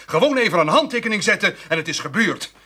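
An actor playing a hot-tempered, stubborn farmer in a Dutch radio drama, blunt and grumbling. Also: male voice, sits high, animated, quick pace, pushed and bright, slightly rough, heavily acted. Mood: bitter, defiant.

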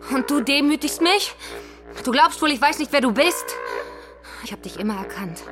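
A woman's voice: high voice, lively intonation, moderate pace. Brazen, seductive, menacing mother, music behind. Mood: furious, demanding. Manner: provocative, insinuating. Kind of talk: stage-like radio drama dialogue.

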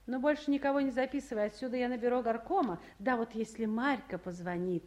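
An actress playing a brisk, commanding woman town official in a 1950s Soviet radio drama. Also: fairly high pitch, darkish tone, close to an everyday voice. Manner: businesslike, commanding, conversational. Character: brisk, bossy female town council official, warm underneath. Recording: roomy old radio studio recording.

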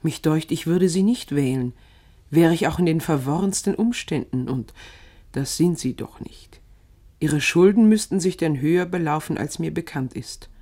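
Soft-toned, careful letter-writer. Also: male voice, fairly high pitch, normal speed. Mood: worried, admonishing. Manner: careful, admonishing letter reading. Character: concerned, sensible letter-writer gently admonishing a friend. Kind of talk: dramatised letter reading for radio.

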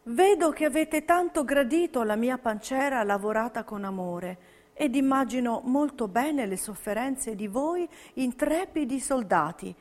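A woman's voice: on the high side, expressive, measured pace, darkish tone. An actress playing an earnest, patriotic young Italian woman in a theatrical wartime letter reading. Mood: tender, earnest. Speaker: stage actress narrating historical testimonies. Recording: long hall reverb.

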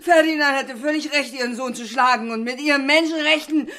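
An actress playing a drunk, tearful, combative wealthy wife in a stage-like drama, shrill and vulgar in her indignation. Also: a little fast, fairly bright tone. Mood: drunken indignation.

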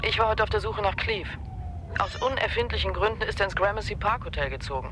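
A woman's voice: mid-range voice, fast talker, lightly acted. An actress playing a cool, self-possessed woman in a radio crime drama, with background noise behind her. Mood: cool, inquiring.

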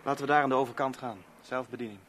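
A man's voice: mid-range voice, fairly even intonation, rapid-fire, fairly bright tone, a little hiss or hum, lightly acted. An actor in a 1970s Dutch radio play, playing a self-assured policeman with a clipped, knowing manner. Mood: smug, knowing.

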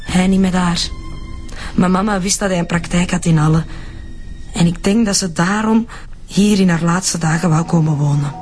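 An actress with a Flemish accent playing an earnest, frightened daughter explaining herself in a radio drama. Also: female voice, on the low side, moderate pace, a little mellow, faint music behind, lightly acted. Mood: earnest, sorrowful. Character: frightened, earnest secret daughter confessing family history.

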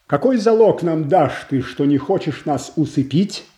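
Slow, mellow-voiced proud old prince. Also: male voice, on the high side, animated. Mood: challenging, wary. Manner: solemn, measured stage declamation. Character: proud old prince and boyar, stern, honorable, wary. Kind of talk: classical Russian verse tragedy in an audio play.

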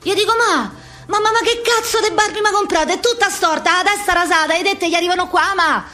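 A woman playing a brash, wounded, childlike working-class Roman street girl in a theatrical monologue, with a slangy, repetitive, excitable delivery and a Roman accent. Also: very high voice, brisk. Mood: outraged complaint. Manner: slangy, repetitive, excitable, acting out many people.

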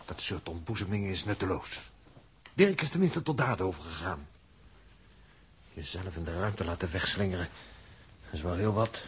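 An actor playing a practical, level-headed crewmate astronaut, matter-of-fact and reproving, in a noisy vintage radio drama. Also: male voice, animated, moderate pace, pauses often. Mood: sober, practical. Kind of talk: 1960s radio drama acting. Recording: vintage radio recording with rumbling hiss.

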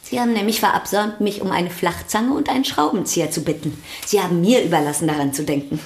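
An actress playing a younger sister and pianist who speaks in a dry, pointed, ironic way. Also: female voice, lowish voice, fast, roomy sound, close to an everyday voice. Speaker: pianist figure. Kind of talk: radio play acting.

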